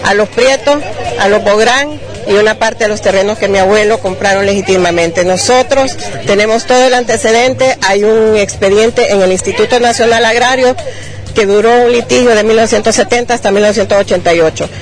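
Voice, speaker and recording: A woman interviewed outdoors, calmly and firmly defending her claim in detail. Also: medium pitch, brisk, soft background music. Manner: firm, detailed, self-defending. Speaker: landowner's granddaughter. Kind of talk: street news interview.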